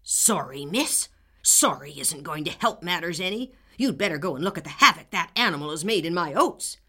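A woman's voice: lowish voice, lively intonation, moderate pace, bright, forceful tone, husky, full character voice. A woman voicing an irate, blustering old farmer who splutters with rage. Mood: angry.

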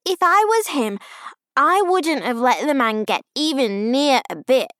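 A woman voicing an excitable, indignant five-year-old girl in an audiobook, with emphatic childish babble. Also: high voice, measured pace, no echo, studio quality, pitched above the performer's own voice. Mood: indignant, excited. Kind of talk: audiobook character voice.

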